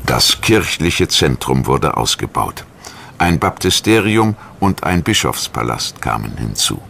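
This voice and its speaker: A man reading scripted documentary narration in a measured, formal manner. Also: very deep voice, very animated, vocal fry, fairly bright tone, a little hiss or hum.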